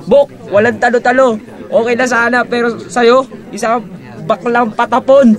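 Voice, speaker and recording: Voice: mid-range voice, fairly even intonation, normal speed, lightly acted. A performer in an amateur radio drama playing a brash young friend with a campy, exclamatory manner. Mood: angry, scornful.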